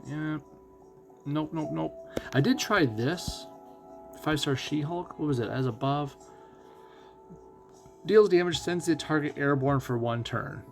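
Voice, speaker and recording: A man giving casual live game commentary as a streamer, musing and thinking out loud as he goes. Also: on the high side, measured pace, gravelly, long pauses, over music. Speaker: gaming streamer.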